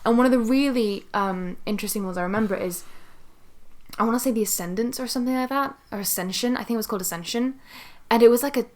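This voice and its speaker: A woman's voice: on the high side, fast talker, a little mellow. Sweary, emphatic young woman chatting. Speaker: podcast co-host. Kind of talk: casual podcast chat.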